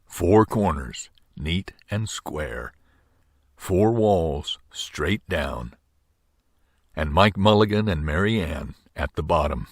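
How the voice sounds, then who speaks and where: An older man reading a children's story aloud with dramatic, drawn-out phrasing. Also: low-pitched, lively intonation, breathy edge, no echo, clean studio sound. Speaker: storybook reader.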